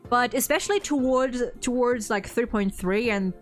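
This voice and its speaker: A woman host in a casual livestream chat, talking in an animated, blunt way and swearing freely. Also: fairly high pitch, normal speed. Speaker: host ranking game story quests with a guest.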